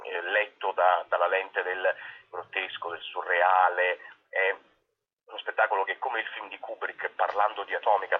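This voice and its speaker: A man, an actor and director being interviewed, explaining a show conversationally and often rephrasing himself mid-sentence, with a husky voice. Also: sits low. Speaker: theatre actor and director, guest. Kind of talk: conversational interview answers, presenting a play. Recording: tinny telephone line on radio.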